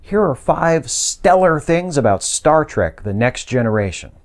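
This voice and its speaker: A man delivering scripted video essay commentary with articulate, measured phrasing. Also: fairly high pitch, big pitch swings.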